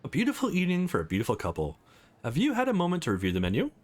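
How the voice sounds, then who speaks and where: A man's voice: fairly high pitch, wildly swooping pitch, very fast. A man voicing a polite restaurant waiter with a courteous, formal manner in a visual novel.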